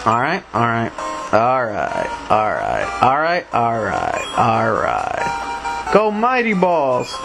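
A man's voice: medium pitch, huge pitch swings, slow, vocal fry. A man with a Mexican accent giving casual commentary over a game, grumbling, talking back to the characters on screen and humming to himself. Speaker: let's play commentator. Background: retro game music.

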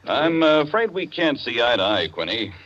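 A man playing a courteous but argumentative club member in a 1940s radio play, speaking deliberately. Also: mid-range voice, big pitch swings, fairly bright tone. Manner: deliberate, debating. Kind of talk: 1940s radio drama acting. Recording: dull 1940s radio recording.

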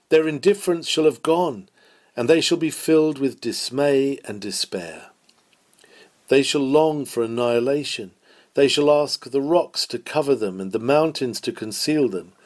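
A man's voice: mid-range voice, a little mellow. A man reading a scripted historical sermon aloud in a solemn, declamatory preaching manner.

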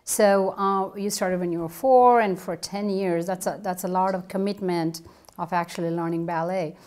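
A middle-aged woman hosting a TV interview in a living room, animated and eager, with a lapel mic. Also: lowish voice, moderate pace. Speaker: TV host.

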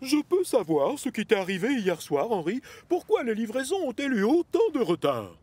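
A portly, stern railway controller in a cartoon. His voice is high and swoops widely as he scolds with authority. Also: big pitch swings, normal speed, mellow, breathy edge, exaggerated voice. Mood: stern, questioning. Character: stern, portly middle-aged male railway boss. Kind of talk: children's cartoon dub acting.

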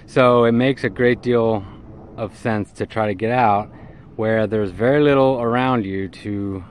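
A middle-aged man giving a casual, hands-on how-to in a halting way, recorded on a handheld camera outdoors by a vehicle. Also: lowish voice, steady tone. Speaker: CB radio hobbyist presenter. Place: outdoors in and beside a parked vehicle in an open field. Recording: clear background noise, outdoor ambience.

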